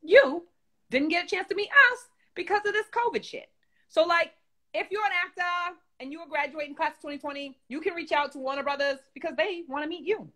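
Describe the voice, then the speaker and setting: A man voicing a brash young woman puppet host with a New York accent, loud and slangy while reading the news. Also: very high voice, brisk. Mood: sassy. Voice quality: loud, profane, slangy, bubbly. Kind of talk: comedic puppet news chat.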